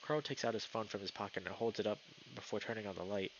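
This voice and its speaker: A man's voice: lowish voice, steady tone, quick pace, lo-fi sound, slight background noise. A man live streaming a game, chatting casually and reading the story text aloud, sometimes tripping over words. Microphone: streaming mic with noise filtering.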